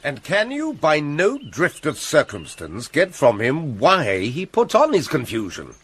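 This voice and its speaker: An actor with a British accent playing a calculating, courtly usurper king in a Shakespearean drama, deliberate and commanding. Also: a little high, wildly swooping pitch, fairly bright tone, breathy edge, exaggerated voice. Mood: troubled probing. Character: smooth, calculating, watchful middle-aged usurper king. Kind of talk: theatrical Shakespearean radio drama.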